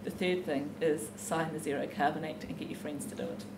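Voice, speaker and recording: A woman giving an informal public speech as a campaigner, explaining things in a halting, conversational way. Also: sits low, fairly even intonation. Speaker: campaign speaker at a public meeting. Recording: noisy, echoey live event recording.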